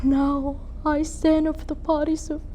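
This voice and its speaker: A woman's voice: sits high, level tone, measured pace, mellow, pitched above the performer's own voice. A woman performing a dramatic monologue as a grieving, bitter, proud young noblewoman mother, with an emotional theatrical delivery. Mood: grieving, stunned. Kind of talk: dramatic monologue for speech competition.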